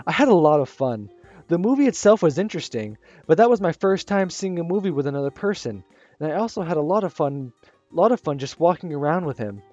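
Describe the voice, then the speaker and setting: A man reading the inner thoughts of a shy, anxious one-eyed teenage girl in a visual novel, soft and hesitant. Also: on the high side, no echo. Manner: soft, hesitant narration with restarts. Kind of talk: first-person visual novel narration. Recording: slightly muffled with soft music.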